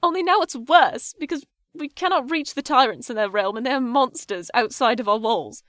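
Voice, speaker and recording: A woman's voice: on the high side, moderate pace, fairly bright tone, no echo, clean studio sound, natural voice. A young woman playing a disillusioned, grieving teenage priestess in an audio drama, speaking in a formal, articulate way. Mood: furious, shouting. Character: disillusioned nineteen-year-old priestess, defiant, grieving, bitter.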